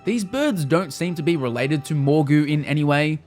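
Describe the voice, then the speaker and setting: A man's voice: a little high, lively intonation, normal speed, a little mellow, faint music behind. A man narrating a scripted video game review in a casual, wry manner with the odd mild swear.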